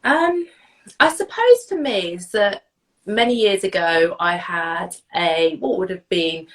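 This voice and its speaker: A woman's voice: on the low side, animated, slow pace, a bit bright. A woman in her forties, a bit stuffed up from a cold, chatting as an interview guest in a rambling way over a video call. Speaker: guest, therapist and coach. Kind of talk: casual interview chat.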